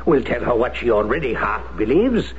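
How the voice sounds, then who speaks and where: An actor playing a persuasive, insistent former French Resistance fighter in a radio drama, speaking with theatrical, formal urgency. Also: male voice, medium pitch, sing-song, moderate pace, lightly acted. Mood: scheming, persuasive. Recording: dull noisy old radio broadcast recording.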